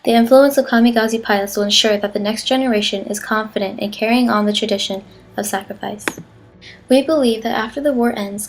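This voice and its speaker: A woman's voice: fairly high pitch, a little hiss or hum, lightly acted. A woman playing an earnest, patriotic wartime newsreel narrator, reading a formal script in a declarative way. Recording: music behind the voice. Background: music.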